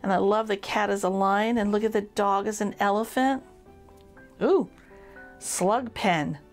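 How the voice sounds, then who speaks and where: A woman reads a picture book aloud for children in an expressive, playful way, addressing the young listeners directly. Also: mid-range voice, a little slow, faint music behind.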